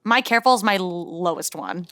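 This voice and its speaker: Bright-voiced woman chatting at the game table. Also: fairly low pitch, expressive, fairly quick, dry recording, studio quality. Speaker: player in a tabletop role-playing podcast. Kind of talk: casual game chat.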